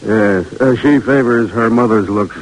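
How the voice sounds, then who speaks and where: An actor in an old radio drama playing a cautious, good-humored sea captain who hems and hesitates. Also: male voice, lowish voice, a little slow, low-quality recording. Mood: hesitant. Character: cautious older male sea captain, a father. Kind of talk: 1940s radio drama acting.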